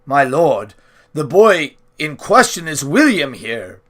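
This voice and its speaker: A man's voice: fairly high pitch, big pitch swings, takes it slowly, fairly bright tone, full character voice. Man acting a careful, apologetic manservant. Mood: grave. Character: careful, deferential manservant confessing to his lord.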